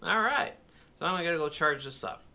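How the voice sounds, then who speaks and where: A man's voice: fairly high pitch, animated, moderate pace, gravelly, a crowd in the background. A man filming a casual unboxing vlog in his home office, talking in a rambling, chatty way and often correcting himself. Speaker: motorcycle vlogger.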